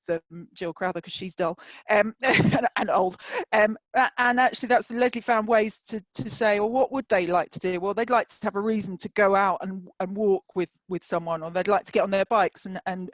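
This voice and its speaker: A British woman co-hosts a casual discussion in a mid-pitched voice. The sound is very dry, with no room around it. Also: dry recording. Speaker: podcast co-host.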